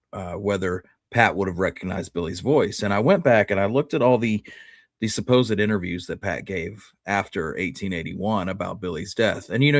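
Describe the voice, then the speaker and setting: A man's voice: deep voice, no echo, clean studio sound. A man hosting a history show, talking casually and often restarting his phrases as he makes a point.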